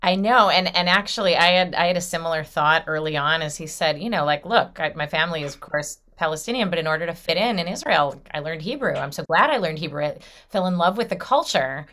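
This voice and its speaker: A woman's voice: low voice, animated, quick pace, hardly any pauses, a bit bright. A woman rabbi hosting an interview over a video call, asking questions tentatively and chatting in a casual, unpolished way. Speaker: rabbi and event host.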